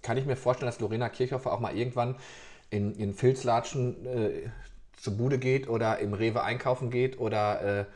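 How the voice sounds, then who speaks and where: A man's voice: medium pitch, steady tone, moderate pace, a little mellow. A man interviewing a young singer, chatty and rambling, restarting his questions often. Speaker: interviewer, local journalist. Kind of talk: casual interview.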